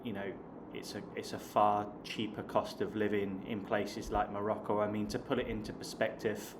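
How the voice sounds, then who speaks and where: A man's voice: lowish voice, level tone, moderate pace. A man in his late thirties from the south of England, with a southern English accent, chatting casually to viewers as a vlogger. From Hampshire, south of England. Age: late 30s. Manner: chatty, addresses viewers as guys. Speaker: online English teacher and vlogger. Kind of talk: casual talk to camera. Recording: clear background noise.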